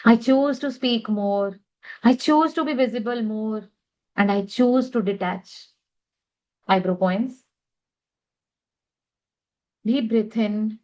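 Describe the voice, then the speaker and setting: A woman leading a guided tapping session, speaking affirmations in a slow, measured way. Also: a little high, darkish tone, dull audio.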